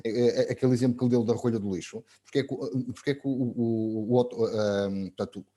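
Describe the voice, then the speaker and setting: A man's voice: mid-range voice, steady tone, fairly quick, warm tone. A man with a European Portuguese accent, moderating an online talk and laying out his points at length, often repeating words as he thinks. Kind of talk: webinar moderation.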